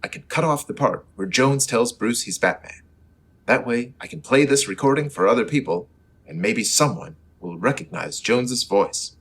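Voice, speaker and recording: An actor playing an earnest, exasperated superhero reporter in a comic old-time radio serial style, with formal, dramatic exclamations. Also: male voice, medium pitch, lively intonation, moderate pace, a bit bright, slightly rough. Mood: exasperated, patient.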